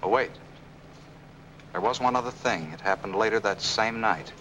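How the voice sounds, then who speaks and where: An actor playing a devoted, insistent young fiancé with earnest, emphatic 1940s film noir delivery. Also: male voice, on the low side, normal speed, sharp tone, a little hiss or hum, rough recording. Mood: earnest, defensive. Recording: old film soundtrack, faint music.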